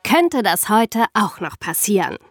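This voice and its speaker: A woman's voice: mid-range voice, big pitch swings, a little slow, music in the background. A woman co-hosting a podcast, commenting in a casual, conversational style. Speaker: podcast co-host.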